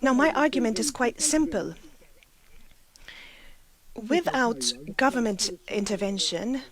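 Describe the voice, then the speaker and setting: A woman's voice: mid-range voice, poor audio. A woman from Malta, giving an argumentative statement at a formal meeting, often restarting her sentences. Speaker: meeting delegate.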